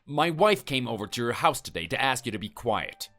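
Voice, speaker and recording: A stern, menacing husband, played by a man in a skit, lecturing and threatening his neighbor. His voice swings widely in pitch as he scolds. Faint music plays under him. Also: big pitch swings, fairly quick, a bit bright.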